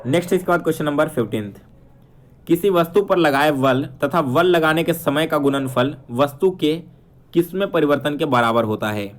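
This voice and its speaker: A man's voice: fairly high pitch, animated, moderate pace. A man teaching exam questions, explaining answers step by step and checking in with viewers. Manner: instructional, addresses viewers directly with frequent check-ins.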